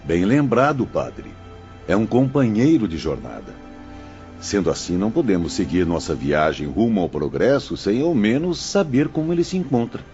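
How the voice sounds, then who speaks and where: A man's voice: fairly low pitch, sing-song, moderate pace, a little mellow. An actor playing a loyal, earnest male companion in an audio drama, speaking formally. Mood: earnest, caring. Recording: muffled audio with music behind.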